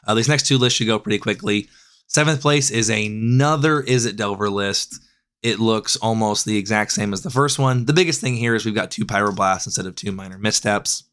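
A man with a raspy voice, hoarse from a cold, casually breaking down card game decklists as a podcast host, often correcting himself mid-sentence. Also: fairly low pitch, brisk, a bit bright. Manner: loose, rambling with frequent self-corrections. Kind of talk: casual deck analysis.

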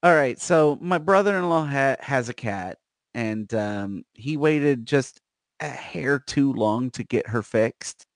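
A man's voice: mid-range voice, expressive, slow, dry recording. An American man co-hosting a comedy podcast, chatting casually in rambling, profane riffs that restart their phrases. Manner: rambling, repeats phrase openings, profane riffing. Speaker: podcast co-host. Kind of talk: casual comic chat.

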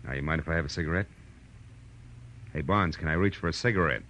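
A man's voice: very deep voice, fast talker, fairly bright tone, slight background noise. A man playing a wry, hard-boiled private detective in a 1940s radio noir drama, with clipped tough-guy delivery. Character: hard-boiled male private detective, wry and sardonic, narrating his case. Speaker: lead actor and narrator. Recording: dull vintage radio recording with music.

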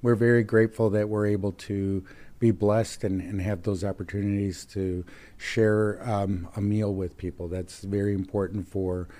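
Low-voiced man, friendly and halting. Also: level tone, warm tone. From Mexico, raised in Chicago area. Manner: friendly, halting with many fillers and restarts. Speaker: interview guest, chamber of commerce president. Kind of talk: casual interview talk.

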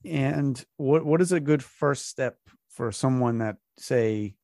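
A man hosting a podcast, raspy while getting over a cold, speaking in a relaxed, upbeat way. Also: mid-range voice, takes it slowly, no echo, studio quality. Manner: relaxed, upbeat, loose with fillers. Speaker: podcast host. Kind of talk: casual podcast interview.

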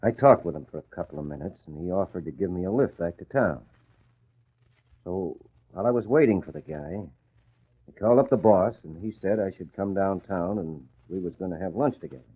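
Low, husky wisecracking newspaperman. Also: animated, brisk. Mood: matter-of-fact storytelling. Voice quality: streetwise, slangy diction. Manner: sardonic, colloquial. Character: wisecracking, grumbling working-class male newspaper employee. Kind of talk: 1940s radio acting. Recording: muffled vintage radio recording.

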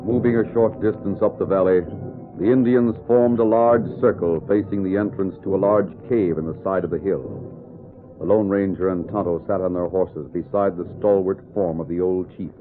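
Low-voiced man as vintage radio western narrator. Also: narrow, tinny audio, natural voice. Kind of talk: dramatic scripted narration, old-time radio. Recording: band-limited vintage radio recording.

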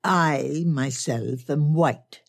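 A woman voicing a courtly old bearded foreign gentleman in an audiobook, with stilted, formal phrasing. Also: deep voice, extremely slow, vocal fry, no echo, studio quality, full character voice. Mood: courteous, formal.

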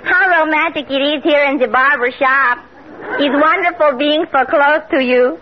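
A woman's voice: sits high, normal speed, muffled recording, lightly acted. A woman playing a melodramatic, lovesick young daughter in an old-time radio comedy. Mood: romantic. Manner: theatrical, mock-romantic. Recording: crackly old radio broadcast, loud studio audience.

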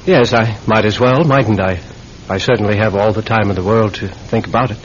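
A somewhat low male actor as a military captain in an old radio play. He sounds weary and rueful, speaking in halting formal phrases. The sound is dull. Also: slight background noise, close to an everyday voice. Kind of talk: classic radio drama acting. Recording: muffled old radio recording.

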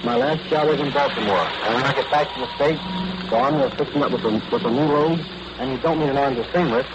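A man's voice: medium pitch, fast talker, highs cut off, background noise. A man speaking on a 1940s wartime radio broadcast from the field.

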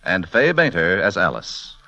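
A man's voice: sits low, sing-song, unhurried, lo-fi sound. A man working as a 1940s radio announcer, formal and emphatic, on a vintage recording. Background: music.

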